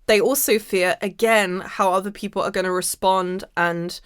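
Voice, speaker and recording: Female podcast host, mid pitch, slightly hoarse, emphatic motivational delivery, dry recording. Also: fairly even intonation, moderate pace, dry recording. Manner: emphatic, repeats key phrases. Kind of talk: motivational spiritual monologue to listeners.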